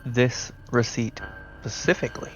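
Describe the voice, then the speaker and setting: A man running a tabletop horror game as game master, talking in a halting, conversational way. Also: mid-range voice, fairly even intonation, soft background music.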